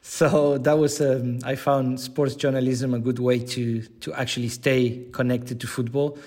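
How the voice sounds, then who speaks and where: Argentinian man, middle-aged, native Spanish speaker, medium pitch, podcast guest talking conversationally. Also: level tone, measured pace, darkish tone. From Buenos Aires, Argentina. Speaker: podcast guest, digital content manager. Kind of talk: conversational interview answers.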